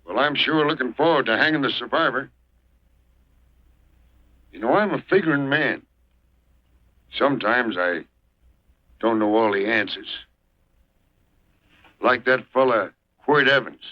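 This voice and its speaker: An actor playing a shrewd, weathered old American marshal in a 1940s western film, with a folksy, deliberate, drily menacing way of talking. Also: male voice, medium pitch, no echo, natural voice. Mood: patient, sly. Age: older. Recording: dull muffled old film soundtrack.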